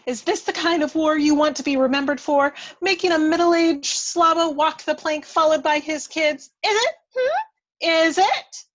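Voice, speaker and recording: A feisty little fairy, played by a woman with a high, lifted voice. She is bold and theatrical, leaning hard on her words as she scolds and goads in a dramatic read-through. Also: normal speed, dull audio, lightly acted. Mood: scolding, indignant. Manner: emphatic, goading, theatrical.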